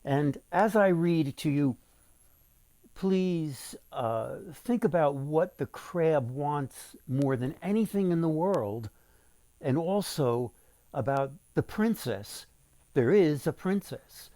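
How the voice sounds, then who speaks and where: A man reading a folktale aloud as its author, with deliberate, dramatic phrasing for young listeners. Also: a little high, animated, warm tone, no echo.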